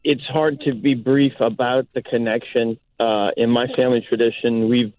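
A man from South Louisiana, whose first language is Cajun French, calls in over a tinny phone line. He rambles and fills his pauses with ums and uhs. The sound is dry. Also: medium pitch, fairly even intonation, no echo. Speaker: caller. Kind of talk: call-in radio chat.

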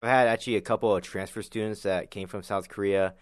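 A young man from Arizona, a college student, talking about his life in a personal interview, hesitant with many pauses and restarts. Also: lowish voice, steady tone, clear, resonant tone, no room sound, studio quality. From Arizona, USA. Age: young adult.

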